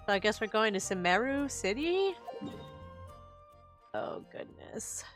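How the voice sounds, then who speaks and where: A woman's voice: medium pitch, sing-song, slow, faint music behind. A woman streaming a video game, giving casual, chatty and playful live commentary. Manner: playful, chatty, reacts aloud.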